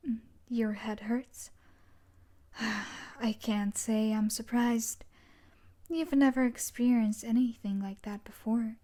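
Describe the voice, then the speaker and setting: A woman playing an obsessive yandere stalker from a dark romance book in an intimate audio roleplay, breathy and coaxing with quiet menace, often dropping into a whisper. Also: fairly high pitch, steady tone, slow. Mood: softly concerned.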